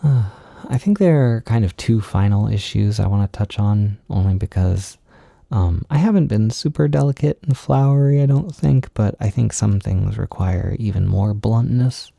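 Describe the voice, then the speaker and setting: Low, very mellow male voice, gently reassuring. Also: gravelly, dry recording, studio quality. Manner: hesitant, halting, self-deprecating. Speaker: audio creator giving comfort and validation. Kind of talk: intimate, informal comfort monologue.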